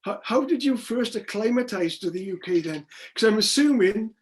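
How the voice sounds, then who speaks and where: A man's voice: high-pitched, lively intonation, moderate pace, a little hiss or hum. An older man conducts a casual interview. His delivery is conversational and curious. He tends to ramble as he works his way toward each question.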